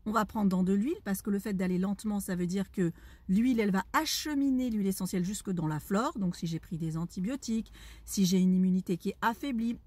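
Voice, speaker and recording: Husky woman explaining patiently. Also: mid-range voice, darkish tone. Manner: didactic, checks understanding with rhetorical questions. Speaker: aromatherapy educator. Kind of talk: answering a viewer question, explanatory.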